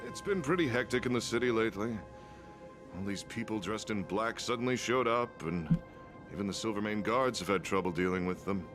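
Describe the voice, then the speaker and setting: An actor playing an earnest, indignant city guard soldier in a video game, speaking formally and emphatically. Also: male voice, on the low side, animated, brisk, lightly acted. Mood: worried, helpful. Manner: emphatic, formal, dramatic delivery. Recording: music behind the voice. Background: background music.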